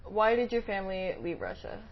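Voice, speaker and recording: A woman's voice: mid-range voice, animated, fairly quick, highs cut off, a little hiss or hum. A woman conducting an interview, asking follow-up questions and prompting with frequent "mm-hmm"s. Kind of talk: interview questions with brief backchannels.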